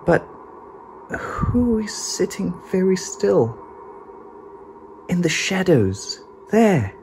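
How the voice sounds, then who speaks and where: Storybook narrator, medium-pitched, very slow and suspenseful, with big dramatic swings for young listeners. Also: very animated, a little mellow, slight background noise. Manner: dramatic, suspenseful, deliberate phrasing. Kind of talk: expressive children's read-aloud storytelling.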